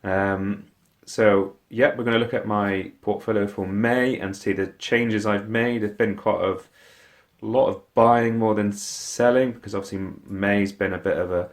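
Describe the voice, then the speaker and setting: A man's voice: lowish voice, fairly even intonation, a little slow, no room sound, clean studio sound. A man with a stuffy, congested voice from a cold, hosting a casual portfolio walkthrough vlog and often hesitating with ums and restarts. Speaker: investing vlogger.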